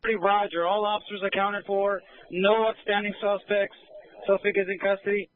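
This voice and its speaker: High male officer giving radio orders. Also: level tone, moderate pace, dry recording. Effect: police radio. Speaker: police incident officer. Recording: dry, narrow police radio transmission.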